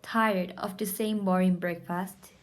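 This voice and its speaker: A woman playing an eager, agreeable co-host and pitchwoman in a scripted 1920s-style radio show. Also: steady tone, measured pace, natural voice. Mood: salesy.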